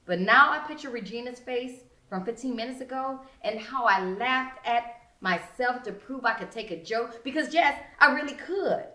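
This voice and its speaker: A woman reads a first-person story as a young teen narrator. Her delivery is theatrical and expressive, with a bright, forceful tone. The sound is roomy and echoing. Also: fairly high pitch, normal speed. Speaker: author reading own novel.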